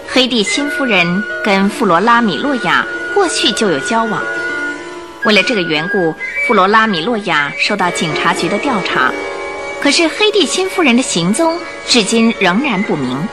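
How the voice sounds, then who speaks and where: A woman's voice: medium pitch, very animated, normal speed, over music. A woman narrating a radio drama, reading scripted story and news reports.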